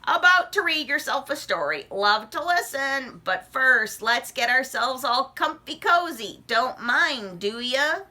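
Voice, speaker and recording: Woman acting a boisterous storybook frog, croaky, breezy, high and forceful, swooping intonation. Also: animated, measured pace, few pauses, exaggerated voice. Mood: cheerful, bold. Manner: exclaiming, breezy, familiar. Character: cheerful, pushy, boisterous male frog visitor.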